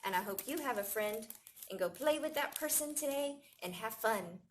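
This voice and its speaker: Animated woman reading a children's story. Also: a little high, normal speed, slight background noise. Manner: expressive, storytelling to young children. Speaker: library staff storytime reader. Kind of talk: children's picture book read-aloud.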